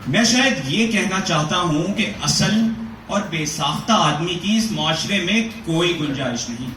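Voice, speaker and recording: A man reads a literary essay aloud with a slow, deliberate, rhetorical delivery. His voice sits fairly high. The recording is roomy and echoey, with the mic set back from him and a faint hiss underneath. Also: expressive. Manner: measured, rhetorical, questioning delivery. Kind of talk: dramatic reading of Urdu prose. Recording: echoey room, voice from a distance, faint noise.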